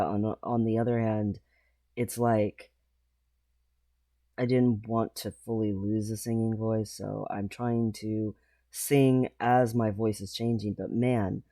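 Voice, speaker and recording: A man talking casually in a personal vlog, rambling and halting, with frequent pauses. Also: lowish voice, warm tone, no room sound. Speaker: vlogger.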